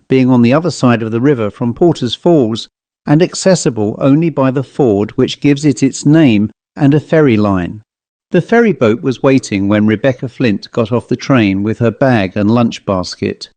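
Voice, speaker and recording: A man narrating an old literary ghost story in a measured, formal storytelling manner. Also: medium pitch, expressive, warm tone, dry recording. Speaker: audiobook narrator.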